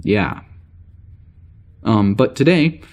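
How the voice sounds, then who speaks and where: A man's voice: on the low side, lively intonation, extremely slow, vocal fry, darkish tone, full character voice. A man playing a weary, wry spaceship security officer recording a log in an audio drama, rambling casually and hesitantly. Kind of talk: audio drama spoken log entry, naturalistic.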